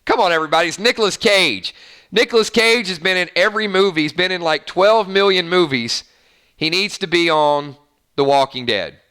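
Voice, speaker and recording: A man's voice: high voice, brisk, sharp tone, clean tone. A man hosting a casual fan live stream, chatting and reading out viewer comments in an upbeat, rambling way. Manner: chatty, upbeat, lots of fillers and restarts.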